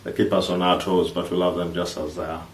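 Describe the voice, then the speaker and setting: A man, co-hosting a vlog in halting, casual chat. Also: low-pitched, level tone. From East Africa, born in Kenya, Ugandan. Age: 30s. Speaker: vlog host. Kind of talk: casual couple chat to camera. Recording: roomy, distant mic, faint noise.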